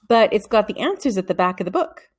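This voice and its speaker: A woman's voice: medium pitch, very animated, fast talker, darkish tone. A woman voicing a helpful, thoughtful schoolboy in a storybook read-aloud.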